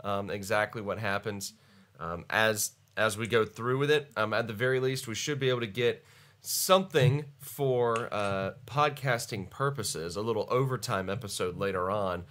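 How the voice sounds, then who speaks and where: A man's voice: lowish voice, normal speed, a bit bright. A man hosting a podcast live stream in casual chat, with a stuffy, congested voice, rambling and laughing often. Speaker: podcast host.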